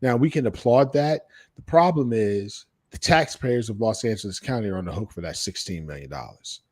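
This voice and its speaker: A man's voice: fairly low pitch, expressive, brisk, a little mellow, husky. An American man hosting a casual podcast chat, speaking in a blunt, salty-tongued way. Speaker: podcast host.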